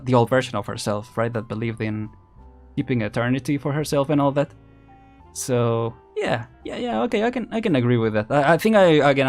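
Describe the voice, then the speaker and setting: Hesitant, rambling male streamer over music. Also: medium pitch. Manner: hesitant, rambling, often repeats words and restarts. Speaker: streamer, vtuber host. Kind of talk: casual livestream commentary, chatting with viewers.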